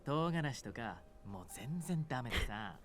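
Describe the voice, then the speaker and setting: A bright-voiced, playful demon king. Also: medium pitch, sing-song, fast talker. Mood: casual, friendly. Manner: breezy, teasing, casual. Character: easygoing, playful, teasing male demon king. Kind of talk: Japanese visual novel voice acting.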